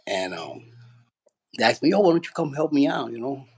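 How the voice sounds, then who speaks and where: A man from Puerto Rico, a boxing trainer, telling his story in a relaxed, rambling way with lots of fillers. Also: medium pitch, moderate pace, slightly rough. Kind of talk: casual interview.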